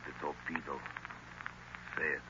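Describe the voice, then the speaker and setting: An actor in an old-time radio drama playing a menacing, commanding professor who hypnotises people, speaking deliberately and insistently. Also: male voice, a bass voice, flat delivery, over music. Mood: coldly commanding. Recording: noisy, narrow-band vintage radio recording.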